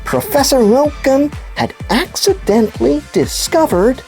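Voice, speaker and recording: A woman voicing an enthusiastic puppet news presenter who explains science to children in an animated, emphatic storytelling way. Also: sits high, measured pace, faint music behind, heavily acted. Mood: intrigued storytelling. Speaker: kids' show host. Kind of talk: scripted children's educational presenting.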